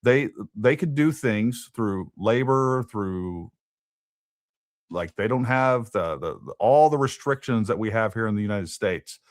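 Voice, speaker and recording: A man's voice: on the low side, expressive, a little slow, dry recording, clean studio sound. A man hosting a casual political talk show, thinking aloud and repeating words as he goes. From Texas. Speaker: podcast host.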